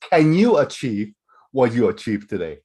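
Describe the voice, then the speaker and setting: A man's voice: mid-range voice, sing-song, moderate pace, dark tone, breathy edge. A middle-aged man from Canada hosting a live webinar Q and A, talking loosely with lots of fillers and restarts. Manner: rambling, many fillers and restarts. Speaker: webinar host.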